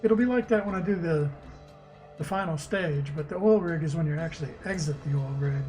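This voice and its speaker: A man streaming as a game developer, casually thinking aloud and muttering haltingly to himself as he works through code. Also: on the high side, darkish tone. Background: music, keyboard typing.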